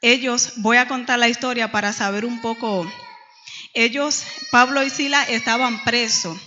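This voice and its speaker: A woman's voice: fairly high pitch, normal speed, bright, forceful tone. A middle-aged woman from the Dominican Republic with a Dominican accent, teaching a Bible class in church and retelling stories with frequent hesitations. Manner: storytelling with many hesitations. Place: church hall. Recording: dull lo-fi church recording with long reverb.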